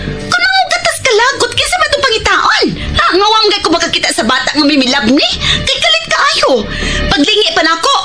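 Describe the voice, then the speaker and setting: A woman's voice: very high voice, sing-song, fairly quick. An actress playing a distraught, wailing woman robbed of her money in a radio drama, with a Cebuano accent. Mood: defensive, pleading. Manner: loud, wailing exclamations. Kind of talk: Filipino radio drama acting. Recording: radio drama under loud music.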